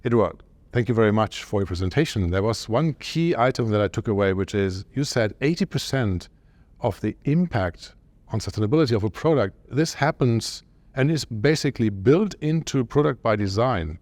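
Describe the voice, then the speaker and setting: A man's voice: fairly low pitch, animated, normal speed, a little mellow, no room sound. A middle-aged man hosting an on-site interview at a conference. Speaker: interviewer, host. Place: medium conference room, indoors.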